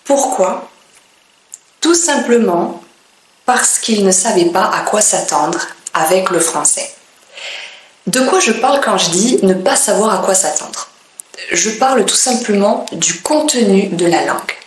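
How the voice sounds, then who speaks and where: Slow, deliberate French woman teaching. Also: medium pitch, expressive, echoey room, a little hiss or hum. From France. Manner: clear, deliberate delivery with pauses between phrases. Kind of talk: slow, careful teaching monologue.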